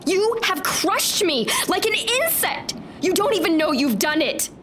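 Bitter high-voiced young noblewoman. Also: very animated, a little slow, a bit bright, a little hiss or hum, heavily acted. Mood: bitter, angry. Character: bitter, proud young Roman noblewoman bride. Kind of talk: full-cast audio drama acting.

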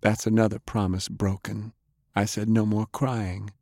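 A man's voice: fairly low pitch, little pitch movement, a little slow, mellow, no echo, studio quality. A man voicing a tough, plainspoken young girl with grief under her stubbornness, in an audiobook. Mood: tearful resolve. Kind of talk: audiobook character acting.

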